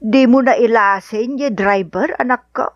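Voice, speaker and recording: A woman's voice: fairly high pitch, a little slow, dry recording, lo-fi sound, natural voice. An actress playing a caring, fretful older mother of a grown daughter in a radio drama, with a Filipino Ilocano accent. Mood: curious, fussing.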